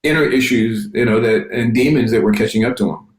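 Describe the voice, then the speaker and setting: A man talking on a discussion panel, thoughtful and unhurried, circling back to rephrase himself as he goes. His voice sits at an ordinary middle pitch. The sound carries a roomy echo around it. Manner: reflective, halting with restarts. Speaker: panelist, music journalist.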